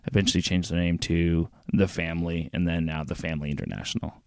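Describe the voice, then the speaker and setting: A man's voice: deep voice, fairly even intonation, fast, darkish tone, dry recording, studio quality. A young man co-hosting a podcast, telling stories in a laid-back way with lots of fillers and laughs. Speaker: podcast co-host. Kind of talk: casual chat.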